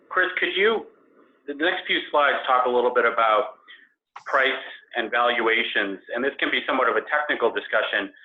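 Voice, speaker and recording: A man moderating a meeting on a conference call, speaking as the person running the discussion. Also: medium pitch. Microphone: conference call line. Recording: tinny phone-line conference call audio.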